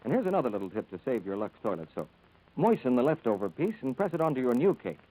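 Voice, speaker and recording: A man announcing on 1940s radio in a polished, scripted sales style, as host and soap pitchman. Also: very animated, quick pace, mellow, poor audio.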